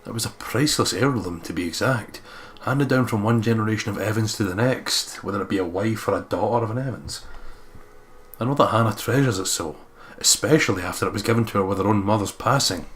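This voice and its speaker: A man's voice: fairly low pitch, fairly quick. A man hosting a let's play, reading visual novel narration aloud with some casual commentary.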